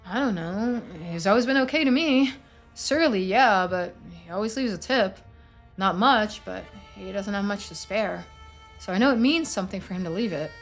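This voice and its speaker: A woman's voice: medium pitch, animated, normal speed, soft background music. A woman voicing a kind, polite small-town woman with a folksy, reassuring manner in a story game. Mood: curious, defensive.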